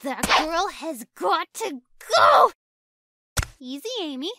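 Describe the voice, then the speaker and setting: A woman's voice: sits high, lively intonation, takes it slowly, pushed and bright, slight background noise, exaggerated voice. A woman voicing a jealous young female hedgehog who schemes against a rival in petty asides, with faint music behind. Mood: scheming. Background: background music.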